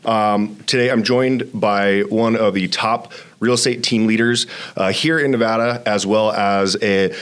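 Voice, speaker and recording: A man's voice: fairly low pitch, steady tone, unhurried, a bit bright, smooth. A man hosting a conversational interview, often repeating and restarting his words. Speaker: podcast host.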